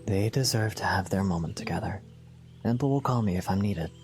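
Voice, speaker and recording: A man playing a reserved young swordsman in an audio drama, terse, guarded and low-key. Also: fairly quick, faint music behind, close to an everyday voice. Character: reserved, stoic young swordsman, protective and worried. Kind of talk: fan audio drama acting.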